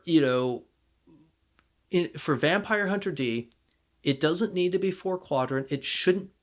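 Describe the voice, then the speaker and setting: A man hosting a podcast, talking casually and emphatically, with halting restarts as he makes his points. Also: on the high side. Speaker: podcast host.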